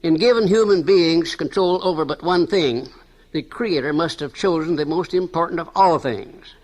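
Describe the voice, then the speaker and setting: A man's voice: fairly high pitch, normal speed, a little mellow, rough recording. A man giving a formal, emphatic reading of a scripted text.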